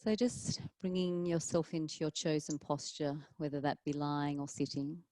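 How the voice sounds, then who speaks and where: A woman with a low, soft-edged mellow voice guides a relaxation class as a yoga teacher. Her delivery is calm and soothing, with long pauses between gentle instructions. The sound is very dry and close, with no room around it. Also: no room sound.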